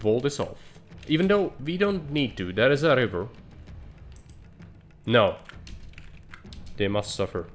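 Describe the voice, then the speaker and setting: A man's voice: on the low side, a little hiss or hum. A man streaming live, thinking aloud in dry, blunt remarks as he plans a city build. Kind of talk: live gameplay commentary.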